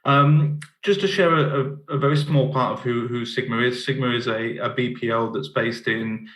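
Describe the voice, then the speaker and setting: A middle-aged man with an English accent, a managing director giving a conference talk over a video call, halting and often repeating his words. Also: medium pitch, fairly even intonation, a bit bright. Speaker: managing director of partner company. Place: office in Cape Town. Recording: roomy echo.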